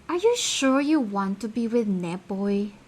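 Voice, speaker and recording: A woman's voice: medium pitch, very animated, slow, a little mellow. A woman playing an opinionated, gossipy young friend with a Filipino accent, speaking emphatically in a radio drama. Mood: probing. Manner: emphatic, conversational.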